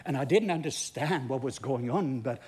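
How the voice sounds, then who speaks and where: A man's voice: medium pitch, expressive, moderate pace, darkish tone, husky. A Norwegian man, a pastor speaking English as his second language, tells a testimony in a sermon with emphatic, repeating build-ups. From Norway.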